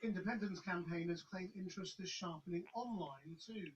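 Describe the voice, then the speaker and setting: A man presenting the news, narrating a report and pressing a guest with brisk, challenging questions. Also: high-pitched, far from the mic, lo-fi sound, a little hiss or hum.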